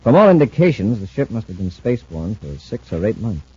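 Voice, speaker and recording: An actor playing a brisk, authoritative space patrol commander with a crisp, decisive manner in an old-time radio serial. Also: male voice, on the low side, very animated, mellow. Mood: serious. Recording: muffled old radio recording, faint hiss.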